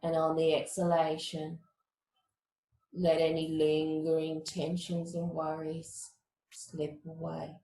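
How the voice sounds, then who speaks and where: Slow, low-pitched woman guiding meditation in echoey room. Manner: calm, measured, soothing. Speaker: yoga and meditation teacher. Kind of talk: guided meditation instruction.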